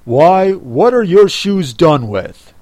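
A reader voicing a brusque, impatient and solemn beast in a storybook, speaking forcefully. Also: medium pitch, very animated, takes it slowly, no room sound, voice pushed up. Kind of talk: storybook character reading.

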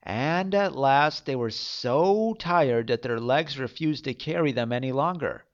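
A man's voice: mid-range voice, measured pace, highs cut off. A man narrating a fairy tale as a storyteller, reading expressively.

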